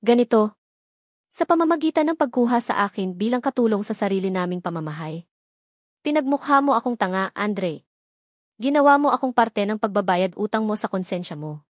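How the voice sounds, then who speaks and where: A woman's voice: mid-range voice, expressive, a little fast, no room sound, studio quality, lightly acted. A woman voicing a hurt, proud young maid in a story, emphatic and accusing. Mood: bitter accusation.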